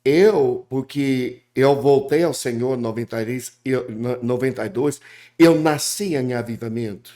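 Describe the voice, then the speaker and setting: Man speaking halting Portuguese. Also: mid-range voice, dark tone. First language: English. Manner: halting, restarts, repeats words. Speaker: guest preacher. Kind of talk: casual interview chat.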